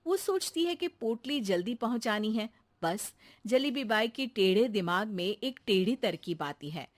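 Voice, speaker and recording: A woman's voice: on the high side, animated, a little slow. A woman reading scripted narration for a comic bedtime story.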